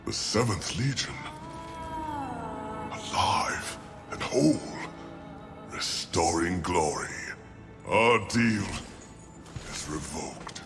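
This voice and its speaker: A proud, gravelly warrior archangel. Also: male voice, deep voice, big pitch swings, extremely slow, pauses often, exaggerated voice. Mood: awed resolve. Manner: declamatory, righteous. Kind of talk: video game cutscene acting. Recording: music behind.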